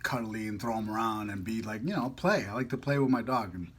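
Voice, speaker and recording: A man's voice: lowish voice, quick pace, a bit bright, a little hiss or hum. A man chatting casually as a vlog host, playful and slangy, rambling as he goes. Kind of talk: casual chat to camera.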